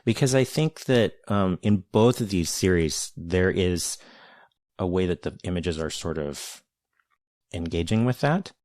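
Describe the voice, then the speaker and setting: A man with a very low voice hosts a conversation, choosing his words carefully and circling back to rephrase. The sound is dry and close, with no room around it. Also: lively intonation, darkish tone, no room sound. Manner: measured, searching, many restarts and hedges. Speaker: podcast host, interviewer. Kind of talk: thoughtful conversational interview.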